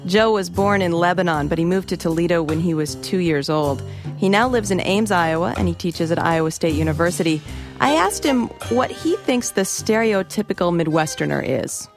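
A woman interviewer introducing her guest and asking calm, curious questions. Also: lowish voice, moderate pace, over music. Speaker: radio interviewer.

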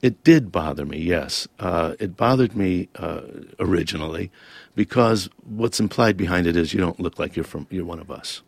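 A very deep-voiced man with a gravelly rasp, reading his own essay and then talking it through in interview. He is thoughtful and wry, and the sound is close and dry. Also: animated, normal speed, dry recording. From Lebanon-born, raised in Toledo, Ohio. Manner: thoughtful, wry and self-questioning. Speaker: author and interview guest.

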